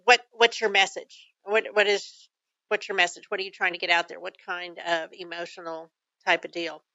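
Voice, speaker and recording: Texan woman radio host, bright smooth voice, leading questions, dry slightly muffled studio. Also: fairly low pitch, level tone, normal speed, dry recording. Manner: affirming, asks leading questions. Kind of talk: radio interview.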